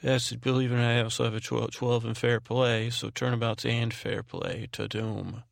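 Male sleep podcast host, mid-pitched with heavy vocal fry, very dry sound. Halting, repetitive, meandering bedtime rambling. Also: level tone, dry recording. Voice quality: soothing, soft-spoken drone. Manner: meandering, halting, repeats and trails off. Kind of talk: rambling bedtime monologue meant to lull.

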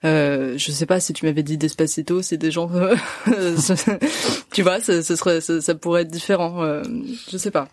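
A woman's voice: sits low, fairly even intonation, moderate pace, a little mellow, dry recording. A woman hosting a podcast, asking questions in a friendly, curious way, laughing easily and affirming her guest often. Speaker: podcast host. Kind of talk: casual podcast interview.

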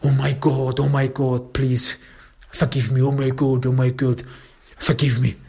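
A man's voice: medium pitch, little pitch movement, slow pace, gravelly, a bit bright, breathy edge, pitched above the performer's own voice, full character voice. A man voicing a guilty, self-pitying father in a spoken story, pleading tearfully and repeating himself. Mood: tearful begging. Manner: pleading, repetitive, whining.

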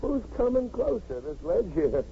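An actor playing an earnest, down-to-earth New York traffic cop in a 1950s radio drama. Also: male voice, high voice, big pitch swings, normal speed. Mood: gentle coaxing. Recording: muffled, hissy 1950s radio recording.

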